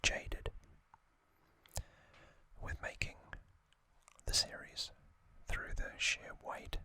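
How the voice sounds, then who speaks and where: Slow, whispered ASMR host. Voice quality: whispered, breathy, unvoiced. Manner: slow, hushed, deliberate with pauses. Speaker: host of an ASMR trivia channel.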